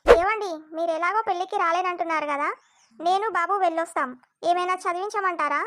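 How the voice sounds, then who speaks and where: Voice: extremely high pitch, fast, heavy background noise. A performer voicing a chatty, naive and bossy housewife and mother in a cartoon comedy skit. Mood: chatty, motherly. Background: music.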